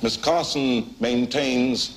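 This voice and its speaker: A man's voice: medium pitch, steady tone, slow pace, a crowd in the background. A man delivering a formal prepared statement as a scientist, in an archival recording. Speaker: biochemist. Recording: noisy archival film recording.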